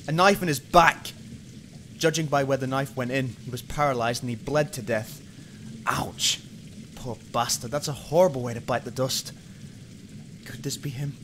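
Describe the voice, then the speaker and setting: A man's voice: mid-range voice, a bit bright, slight background noise, close to an everyday voice. A man acting a hot-tempered, obsessive, weary police detective in an amateur radio drama, muttering and swearing. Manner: brooding, muttering to himself, swearing. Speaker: voice actor in amateur radio play.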